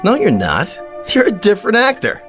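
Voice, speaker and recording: An actor with an American accent playing a vain, petulant, bullying cowboy star in a radio drama. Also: male voice, sits high, huge pitch swings, normal speed, fairly bright tone, exaggerated voice. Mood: indignant, bewildered. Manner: whiny, bullying, self-important. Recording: faint music behind the voice.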